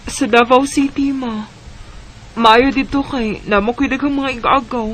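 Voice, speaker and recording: A woman acting as a young Bisaya heroine in a sentimental radio drama. Her voice is high, and she plays someone caught between love and family. A light noise sits on the recording. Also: steady tone, measured pace, fairly bright tone. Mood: friendly. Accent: Filipino, Bisaya.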